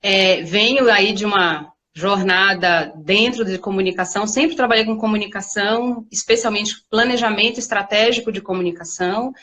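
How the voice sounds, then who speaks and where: A woman in her forties with a Bahian accent, introducing herself in a relaxed talk with playful asides. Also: medium pitch, moderate pace, hardly any pauses. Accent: Bahian Portuguese. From Salvador, Bahia, Brazil. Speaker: guest speaker. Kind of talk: casual presentation talk.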